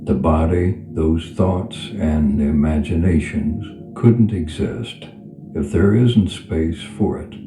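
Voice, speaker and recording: Male meditation guide, very low register, slow soothing delivery with long pauses, roomy reverb. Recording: reverberant voice over clear background music. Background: background music.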